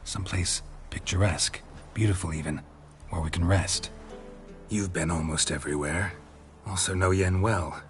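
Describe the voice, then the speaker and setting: An actor playing a laconic, weary, guarded monster hunter in video game dialogue, speaking tersely with understatement. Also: male voice, low-pitched, steady tone, normal speed, natural voice. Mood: hesitant. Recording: music playing behind the voice.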